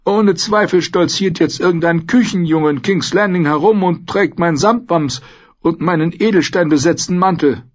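A man's voice: high-pitched, normal speed, lo-fi sound, voice pushed up. A man voicing a desperate, haughty elderly nobleman prisoner in an audiobook, pompous and pleading. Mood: wounded, pompous. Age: older. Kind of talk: audiobook character acting in a fantasy novel.

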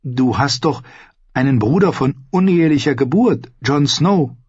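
Man playing a high-pitched, earnest young squire boy. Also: lively intonation, unhurried, a little mellow, husky, dry recording, dull audio. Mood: shy. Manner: polite, solemn, hesitant. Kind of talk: audiobook character voice.

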